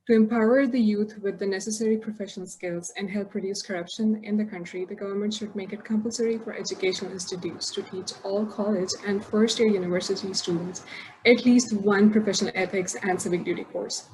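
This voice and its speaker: A woman's voice: medium pitch, fairly even intonation, a little fast, darkish tone, slight background noise, low-quality recording. A woman from Pakistan, a doctoral scholar and former lecturer, giving a composed prepared statement.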